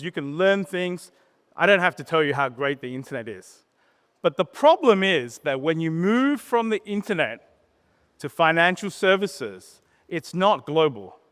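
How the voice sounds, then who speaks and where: A man gives an informal, plain-spoken conference talk, telling personal stories with pauses and tag questions. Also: fairly high pitch, a bit bright, clean tone. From born in Sri Lanka, grew up in Australia. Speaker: startup co-founder, conference speaker. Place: conference stage in a large hall. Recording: reverberant hall PA sound.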